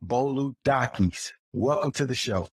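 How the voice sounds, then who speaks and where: A man hosting a podcast interview, energetic and welcoming as he introduces his guest. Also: medium pitch, measured pace, husky, dry recording, studio quality. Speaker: podcast host.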